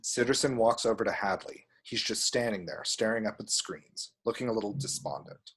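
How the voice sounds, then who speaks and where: A man's voice: lowish voice, fairly quick, fairly bright tone, breathy edge. A man reading screenplay action descriptions as scripted narration at a table read.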